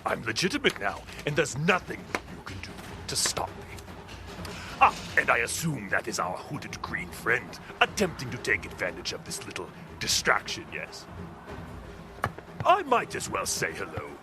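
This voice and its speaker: A man's voice: lowish voice, huge pitch swings, normal speed, over music. An actor playing a sly, smug crime boss posing as a businessman in an audio drama, pompous and taunting, slipping between murmurs and flourishes.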